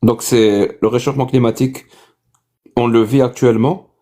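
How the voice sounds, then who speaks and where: A man's voice: medium pitch, fairly even intonation, normal speed, mellow. Man asking teasing, pointed questions. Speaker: debate host. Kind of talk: casual online debate.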